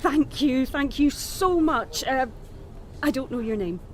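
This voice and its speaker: A woman's voice: high-pitched, expressive, measured pace, a little hiss or hum. An actress playing a flustered, apologetic, scatterbrained young woman in a naturalistic audio drama. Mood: flustered, grateful. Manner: apologetic, flustered, self-deprecating.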